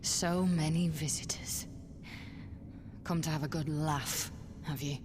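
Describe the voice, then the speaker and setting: A woman's voice: low voice, noisy background. An actress playing a bitter, ruthless defeated female commander in a dramatic video game cutscene. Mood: bitter, taunting. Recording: long hall reverb, loud music behind.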